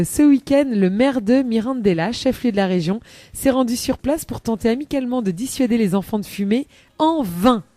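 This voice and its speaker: A woman's voice: a little high, warm tone, dry recording. A woman chatting on a live radio show as a columnist, rambling with many hesitations and laughing often, into a studio microphone.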